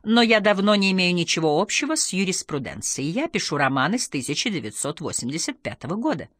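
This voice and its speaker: A woman voicing a cool, self-assured middle-aged female crime novelist, speaking in a measured, serious, deliberate way in an audiobook. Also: fairly low pitch, very animated, fairly bright tone, no room sound, clean studio sound.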